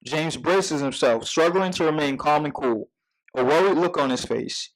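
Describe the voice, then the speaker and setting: Higher-voiced man narrating a screenplay. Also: moderate pace, poor audio. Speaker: narrator at a script table read.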